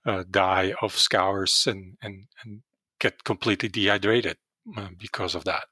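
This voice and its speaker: A middle-aged man with a Dutch accent explains calf disease as a podcast guest, hesitating often with fillers. His voice is bright and a little low, and it sits dry and close to the mic with no room sound. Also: fairly even intonation, a little slow, breathy edge, dry recording. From Netherlands. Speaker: veterinary virology professor, guest. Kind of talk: podcast interview.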